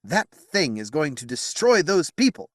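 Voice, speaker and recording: Man voicing a determined young king, firm, raised, lively, dry sound. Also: fairly high pitch, a little slow, no room sound, pitched above the performer's own voice. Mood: resolute. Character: resolute young male king, defiant.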